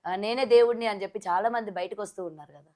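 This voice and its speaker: Lady host asking questions, normal mid pitch, chatty interview style. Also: quick pace, dark tone. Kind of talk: conversational interviewing.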